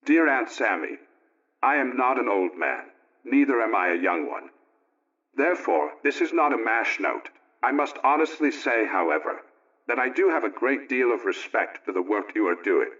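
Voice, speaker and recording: A man playing an earnest, folksy middle-aged family man, reading out his letter in a deliberate, plain-spoken way for 1920s radio. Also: mid-range voice, animated, a bit bright. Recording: dull, lo-fi old radio recording.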